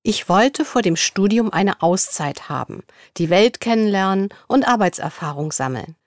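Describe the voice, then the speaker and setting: A young woman in a studio, reading interview answers in a scripted dialogue at an even, clear pace. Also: medium pitch, moderate pace, no room sound.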